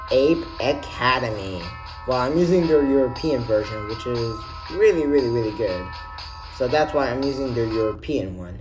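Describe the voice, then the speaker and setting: A man in casual, excited vlog mode walks through a game console's menus. He talks loosely, trips over his phrasing and restarts often. His voice sits at a middle pitch, with soft music playing faintly behind him. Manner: rambling, enthusiastic, frequent restarts. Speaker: gaming YouTuber. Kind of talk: casual menu walkthrough.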